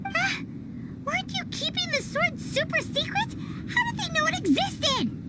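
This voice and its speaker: A woman voicing a tiny childlike fairy companion in an anime-style game, squeaky, cheeky and exclamatory. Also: expressive, moderate pace, very noisy. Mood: flustered. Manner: exclamatory, cutely indignant, refers to self in third person.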